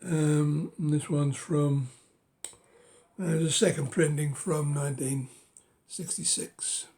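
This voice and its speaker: A middle-aged man with a cold, speaking hoarsely and haltingly in a casual show-and-tell of his books, often dropping to a whisper. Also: fairly high pitch, steady tone, darkish tone. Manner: halting, many ums and uhs, drops to a whisper. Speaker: book collector vlogger.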